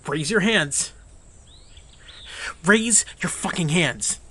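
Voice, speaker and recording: A tough, animated armed chief. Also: male voice, high voice, extremely slow, slight background noise, exaggerated voice. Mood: commanding. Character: tough, weary armed chief in besieged town. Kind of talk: audio drama acting.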